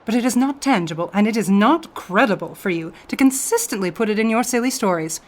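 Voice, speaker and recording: A woman's voice: medium pitch, expressive, brisk, close to an everyday voice. Woman playing a skeptical, dismissive intellectual. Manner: formal, dismissive. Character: skeptical, dismissive, level-headed intellectual debater. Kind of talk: theatrical radio drama acting.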